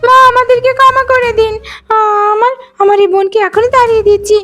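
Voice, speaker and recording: An extremely high-pitched young daughter-in-law voiced by a woman in a cartoon moral tale. She is greedy and scheming, with a whiny, theatrical delivery. Soft music sits behind the voice. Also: fairly even intonation, a little fast. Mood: pleading. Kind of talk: Bengali animated moral-story voice acting.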